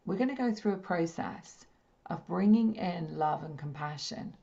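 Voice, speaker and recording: A woman with a slightly croaky, hoarse throat, leading a spiritual healing session in a gentle, repetitive, soothing way. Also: fairly low pitch, expressive, moderate pace. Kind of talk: slow meditative teaching talk. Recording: dull lo-fi stream audio.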